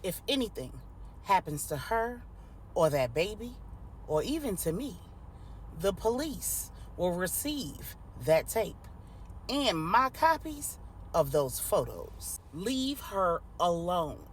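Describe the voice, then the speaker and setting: A woman storyteller playing a stern, protective woman who speaks slowly and pointedly in a dramatic story. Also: lowish voice, big pitch swings, slight background noise. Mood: cold warning. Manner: slow, pointed, deliberate. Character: stern, protective woman confronting an abusive husband.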